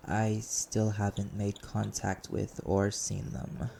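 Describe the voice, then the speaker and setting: A man's voice: lowish voice, level tone, slow pace, gravelly. A man playing a dutiful, subdued younger vampire brother and spy in an audio roleplay, formal and deferential. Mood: reporting. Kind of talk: audio roleplay drama acting. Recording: very noisy amateur recording.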